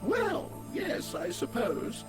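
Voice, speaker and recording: Very high, fretful scientist. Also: male voice, huge pitch swings, slow, over loud music, exaggerated voice. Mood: hesitant. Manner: flustered, hesitant, fussy.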